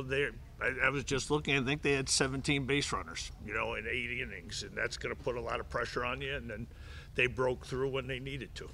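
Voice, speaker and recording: A middle-aged coach answers reporters at a table microphone in a press room, with a gravelly edge and a bright, forceful tone. He talks in a measured way, often restarting and doubling words, over faint background noise. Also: medium pitch. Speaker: head baseball coach. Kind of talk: postgame press conference answers. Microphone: table mic in front of him.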